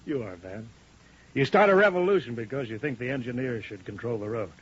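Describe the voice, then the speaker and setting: An actor playing a cool, commanding male chief in a 1950s radio drama, speaking deliberately and with authority. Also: mid-range voice, breathy edge. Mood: taunting. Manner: authoritative, calmly needling, deliberate. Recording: dull muffled 1950 radio broadcast.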